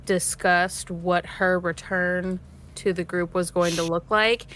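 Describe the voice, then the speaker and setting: A woman's voice: lowish voice, steady tone, takes it slowly, a bit bright, a little hiss or hum. A woman in a cast discussion, talking reflectively and thoughtfully as she pieces her points together in long sentences. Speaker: cast member of an actual play series.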